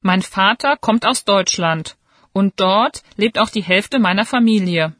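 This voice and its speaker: A woman's voice: mid-range voice, measured pace, a bit bright, no room sound, studio quality, natural voice. A woman reading as a young student. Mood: earnest. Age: young adult. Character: young Dutch female student, 21. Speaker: student speaking in a listening exercise. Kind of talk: scripted reading for a listening test.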